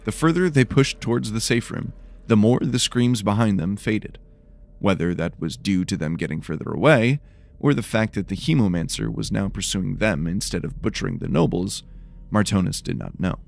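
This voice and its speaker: A man with a slightly tired, worn voice reading scripted, dramatic dark fantasy narration. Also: fairly low pitch, normal speed, vocal fry.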